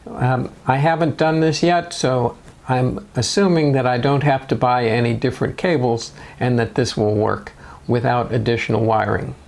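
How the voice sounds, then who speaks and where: A man narrating step-by-step DIY instructions in a measured, matter-of-fact way. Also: medium pitch, steady tone, vocal fry. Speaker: motorcycle DIY video host.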